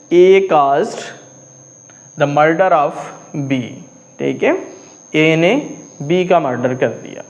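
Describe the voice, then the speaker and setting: Slow, high-voiced male law lecturer. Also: low-quality recording. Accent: Pakistani. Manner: explains step by step, often checks in with "okay"; reads legal English phrases slowly and deliberately.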